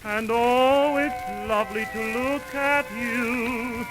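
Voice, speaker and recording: A man's voice: very high-pitched, fairly even intonation, slow pace, rough recording. A man crooning a romantic song in the style of vintage radio. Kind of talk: 1930s-40s radio crooning, singing. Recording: vintage radio recording, loud music. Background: musical accompaniment.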